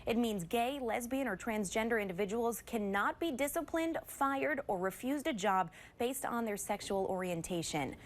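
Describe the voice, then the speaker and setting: A woman's voice: a little high, lively intonation, normal speed, hardly any pauses. A woman with an American accent reading scripted television news as an anchor. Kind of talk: scripted news broadcast narration.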